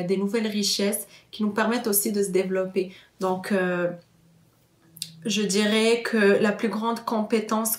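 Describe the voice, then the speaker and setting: A woman in her thirties, originally from Morocco, talks about her life and values in an earnest, searching way. She pauses often and fills gaps with hesitations. Her voice sits at a middle pitch and carries a clear room echo. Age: 30s. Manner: earnest, many hesitations and fillers. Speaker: interviewee. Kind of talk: personal testimony.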